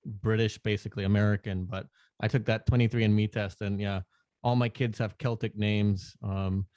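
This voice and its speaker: A man talking as a podcast guest in casual chat, relaxed, laughing often and restarting sentences. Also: lowish voice, moderate pace, no echo. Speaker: podcast guest, NFT artist.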